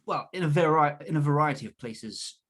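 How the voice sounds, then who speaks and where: A young man from the south of England, co-hosting a political chat in a measured, thoughtful way, often correcting himself mid-sentence. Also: on the high side, lively intonation. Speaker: podcast co-host. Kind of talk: casual political discussion.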